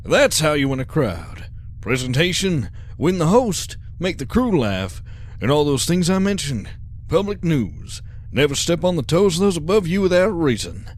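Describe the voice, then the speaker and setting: Casting: suave male convict showman played by a man, highish voice, lively swings, cocky and persuasive, audio drama. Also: normal speed, slight background noise. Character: smooth, confident showman convict, schooling his rivals. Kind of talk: sci-fi audio drama acting.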